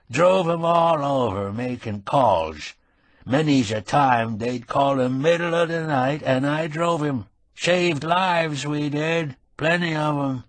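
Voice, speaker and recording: A man voicing a frail old rural caretaker who speaks slowly in short, country-grammar sentences, with a nasal, juicy lisp. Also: on the high side, dry recording, pitched above the performer's own voice. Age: older. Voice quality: nasal resonance and juicy, lisping sibilance.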